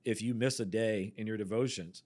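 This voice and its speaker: A man in his forties hosting a church podcast, chatting casually with quick asides and easy laughs. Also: fairly low pitch, a little mellow. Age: 40s. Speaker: podcast host, pastor. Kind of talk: casual chat.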